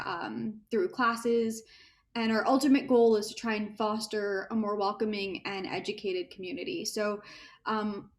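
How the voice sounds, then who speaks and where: A young woman moderates an online panel as a volunteer on a video call. She speaks casually and politely, with plenty of filler words as she introduces the speakers. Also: on the high side. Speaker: event moderator.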